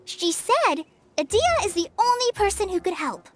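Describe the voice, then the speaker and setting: A woman's voice: extremely high pitch, very animated, moderate pace, soft background music. A woman voicing a polite, earnest young girl who can be a little hesitant, in a game cutscene.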